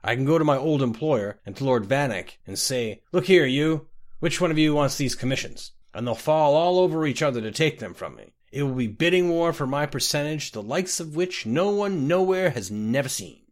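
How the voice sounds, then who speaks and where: A man's voice: a little high, lively intonation, normal speed, voice pushed up, natural voice. Scotty, a man voicing a tipsy boastful clerk. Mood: rambling tipsy. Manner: boastful, rambling, slurring slips. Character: tipsy rambling male clerk.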